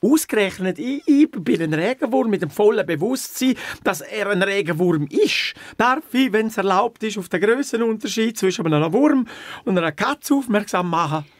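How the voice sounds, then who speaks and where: A man's voice: sits high, expressive, moderate pace, dry recording. A man with a Swiss accent voicing an intellectual, pompous, easily annoyed earthworm in a children's radio play. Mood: indignant. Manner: pompous, precise, long-suffering.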